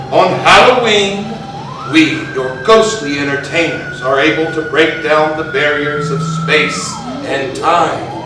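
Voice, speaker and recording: A dead radio disc jockey who hosts horror tales from beyond, heard from far off in a cavernous, echoing theater. He speaks slowly and grandly in a fairly high voice, theatrical and pompous. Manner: theatrical, grandiose, drawn-out delivery. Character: pompous undead radio DJ posing as ghoulish horror host. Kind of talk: live stage readers theatre, horror-host parody. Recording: very echoey theater, distant mic, noisy.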